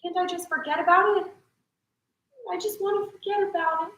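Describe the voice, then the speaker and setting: A high, bright voice in a very reverberant room, an actress playing a shaken young woman in a stage drama. She is defensive and reluctant, speaking as someone traumatized. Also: steady tone, a little fast, highs cut off. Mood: distressed, resigned. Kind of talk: staged reading of a play. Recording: echoey room, distant mic.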